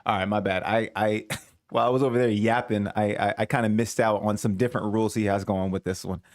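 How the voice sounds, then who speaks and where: A man's voice: fairly low pitch, fairly even intonation, fast, slight background noise. A man commenting casually on a dating show video, rambling, correcting himself and chuckling at his own asides. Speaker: reaction video host.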